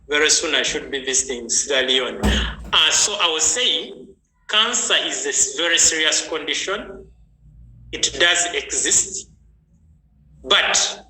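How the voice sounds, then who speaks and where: Bright-voiced man hosting online. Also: mid-range voice. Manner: chatty, greets viewers by name. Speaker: health session host. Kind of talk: online training talk. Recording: echoey room with faint noise.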